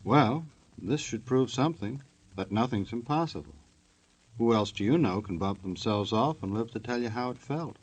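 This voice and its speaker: A man's voice: mid-range voice, lively intonation, moderate pace, a little mellow, rough recording. A man narrating a 1950s radio show from a script in a wry, tongue-in-cheek, mock-formal way. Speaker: announcer-narrator.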